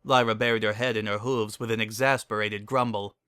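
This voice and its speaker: A man's voice: on the low side, steady tone, a little fast, pushed and bright, clear, resonant tone, dry recording, clean studio sound. A man narrating a story as a scripted dramatic reading.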